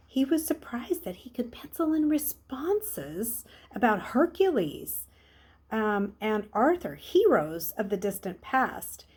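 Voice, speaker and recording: A woman's voice: medium pitch, a little mellow, slightly rough. A woman reading a children's novel aloud as a storyteller. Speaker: story reader.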